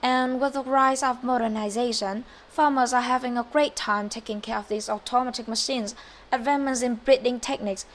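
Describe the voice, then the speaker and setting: A teenage girl plays a formal news anchor reading a scripted mock broadcast. Her English carries a Vietnamese accent and a fairly high voice, with a faint hiss in the background. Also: fairly even intonation, brisk, close to an everyday voice. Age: teens. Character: formal female news anchor and talk show host. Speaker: news anchor and talk show host.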